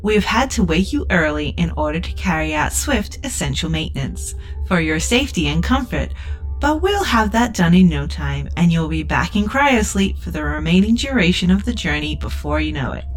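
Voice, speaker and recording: A female spaceliner computer built for customer service. Her voice sits fairly low and stays chirpy and over-polite, reciting corporate patter as in a sci-fi audio drama, with faint music behind. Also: very animated, moderate pace. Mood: upbeat, reassuring. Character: relentlessly upbeat customer-service AI of a budget spaceliner. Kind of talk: science fiction audio drama acting.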